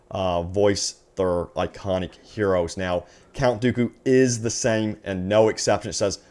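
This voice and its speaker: A man hosting a gaming news video, speaking enthusiastically to viewers and reading out announcements. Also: medium pitch. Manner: excited, addresses viewers as guys. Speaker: gaming news channel host.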